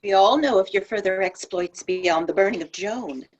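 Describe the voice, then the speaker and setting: A woman's voice: mid-range voice, moderate pace, a little mellow, muffled recording, lightly acted. A woman plays a candid dead soul in a stage read-through. Her voice sits in the middle range. She is knowing, formal and matter-of-fact. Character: candid, worldly dead soul awaiting judgment. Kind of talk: acting in a virtual table read.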